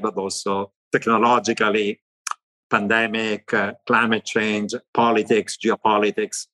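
A middle-aged economist with an Italian accent, answering interview questions in long animated lists. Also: male voice, lowish voice, poor audio. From Italy. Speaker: economist guest.

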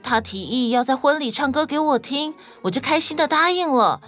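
一位女性在儿童动物故事里扮演温柔、真诚又重情义的年轻新娘。. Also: female voice, sits high, expressive, normal speed, fairly bright tone. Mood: earnest, gentle. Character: sweet, loyal young bride in animal fable. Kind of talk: children's audio story acting. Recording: music behind the voice.

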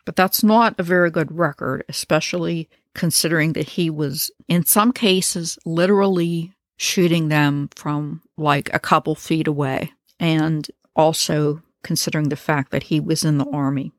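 Woman, low voice, dry close mic, chatty true crime storytelling w/ snarky asides. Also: fairly even intonation, normal speed, few pauses, no echo. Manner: wry, conversational, offhand asides. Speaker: true crime podcast host. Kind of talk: casual storytelling narration.

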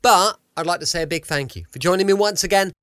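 English male podcast host, higher-pitched, lively swings, effusive chat, dry close sound. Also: fast, no echo. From United Kingdom. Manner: rambling, effusive. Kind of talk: chatty show introduction.

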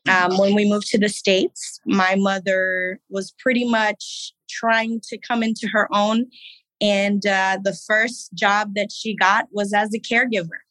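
A woman in her thirties from Haiti, a podcast guest telling her story in an unhurried, reflective way with fillers. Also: medium pitch, level tone, fairly bright tone, dry recording, rough recording. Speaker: guest, business owner and Navy veteran. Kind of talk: casual interview talk.

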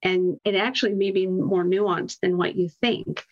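A woman talks as a guest in a podcast interview. Her voice is medium in pitch, her answers are conversational, and the recording is slightly dull and lo-fi. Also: steady tone, a little slow. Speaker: podcast guest, marketing executive.

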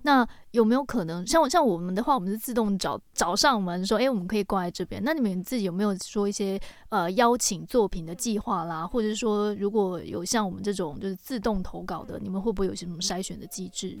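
A woman podcast host with a Taiwanese Mandarin accent puts questions conversationally. She talks quickly at a middle pitch, and the sound is very dry, with little room around her. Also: dry recording. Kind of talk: casual interview questioning.

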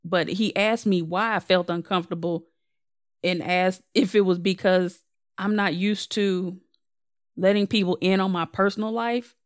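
A young woman, slightly hoarse, hosting her own podcast in a casual, candid and self-deprecating way. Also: on the low side, fairly even intonation, unhurried, no room sound, clean studio sound. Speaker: podcast host. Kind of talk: casual solo podcast update.

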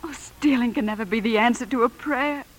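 A woman's voice: a little high, fairly quick, close to an everyday voice. A woman with an American accent playing a principled, anguished young mother and wife in a 1950s radio drama, earnest and emotional. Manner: earnest, emotional, breathy at times.

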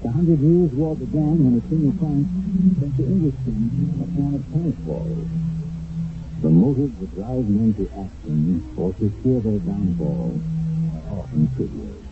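Male radio drama host: mid-pitched, dramatic, deliberate narrator with portentous pauses, muffled vintage sound over music. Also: animated. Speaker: host of radio mystery series.

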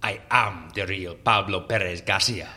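An actor playing a vain, boastful older Latin American president in an audio drama, grandiose and blustering. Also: male voice, low-pitched, normal speed, bright, forceful tone. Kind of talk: audio fiction acting.

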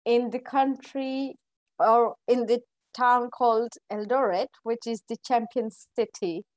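A woman from Kenya being interviewed, answering in a friendly, halting way and giggling often. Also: fairly high pitch, fairly even intonation, no room sound. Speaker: podcast guest. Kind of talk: casual interview chat.

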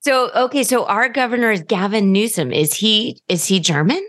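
An American woman speaks as a podcast host in a conversational style. She is effusive and openly self-deprecating, with a mid-pitched voice. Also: moderate pace, fairly bright tone. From United States.

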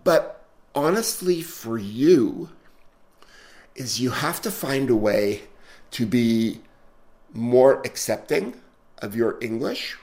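A man's voice: medium pitch, lively intonation, slow, long pauses, darkish tone. Man, pronunciation teacher, blunt repetitive coaching, mid-range voice. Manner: blunt, repeating corrections. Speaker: pronunciation teacher, linguistic consultant. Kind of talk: spontaneous classroom coaching.

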